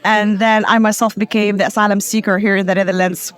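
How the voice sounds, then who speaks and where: Woman from Palestine, earnest, halting restarts, medium pitch, interview testimony. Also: level tone. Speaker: conference participant.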